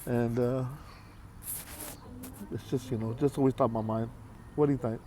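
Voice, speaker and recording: A man in his late fifties talking candidly to his viewers in a halting, heartfelt way, with many pauses and repeated phrases. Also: mid-range voice, fairly even intonation, warm tone. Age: 50s. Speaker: vlogger. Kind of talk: candid talk to camera.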